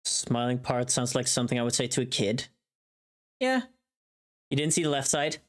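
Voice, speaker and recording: A woman's voice: rumbling low, normal speed. Woman streamer casually thinking aloud. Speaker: livestreamer. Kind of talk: casual livestream chat while working.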